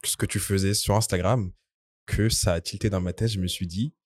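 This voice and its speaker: Low-voiced male podcast host. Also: steady tone, no echo. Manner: earnest, measured, many fillers when asking. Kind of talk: podcast interview, scripted intro.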